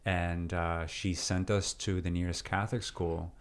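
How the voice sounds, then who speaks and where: A man in his thirties, originally from Peru, talking through his life story as a guest in a relaxed interview, with many fillers and restarts. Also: low voice, a little slow. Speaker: deacon and seminarian, interview guest. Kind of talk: casual interview conversation.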